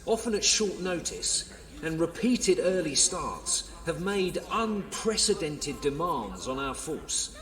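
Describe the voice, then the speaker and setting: A man's voice: a little high, very animated, takes it slowly, fairly bright tone, heavily acted. An actor playing a defensive, placating male police official with an English accent in an audio drama. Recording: reverberant hall, distant mic.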